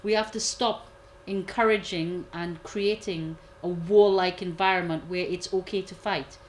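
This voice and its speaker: A woman commentator giving a measured analysis, repeating key points for emphasis. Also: fairly low pitch, steady tone, slight background noise, poor audio. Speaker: guest commentator. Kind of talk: analytical discussion.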